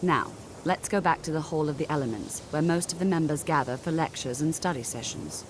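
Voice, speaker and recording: A woman's voice: sits low, a little fast, noisy background, close to an everyday voice. A woman playing a polite, orderly senior mage in a fantasy video game, giving instructions in a composed, formal way. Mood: composed, helpful. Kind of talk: video game character dialogue.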